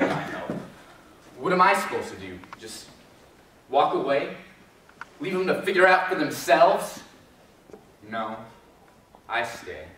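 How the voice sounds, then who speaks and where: A man's voice: a little high, a bit bright, exaggerated voice. A man playing a bitter, commanding elephant trainer with grim duty, intense and emphatic in a stage drama. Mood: bitter, anguished. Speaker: actor in a student readers theater group. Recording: very echoey hall, distant mic, faint noise.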